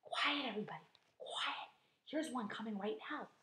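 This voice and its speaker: A woman's voice: on the high side, normal speed, echoey room. A woman voicing a brave, bossy young boy in a storybook, speaking with urgency. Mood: hushed, urgent. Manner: urgent hushed whispers and excited shouts.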